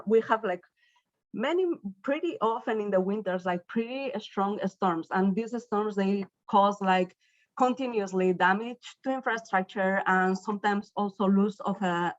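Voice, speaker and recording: A woman from northern Spain with a Spanish accent, giving an academic keynote talk as a professor in a somewhat halting, self-repeating way. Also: medium pitch, no echo, dull audio. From northern Spain, Basque Country. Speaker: university professor, invited keynote speaker. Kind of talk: academic presentation.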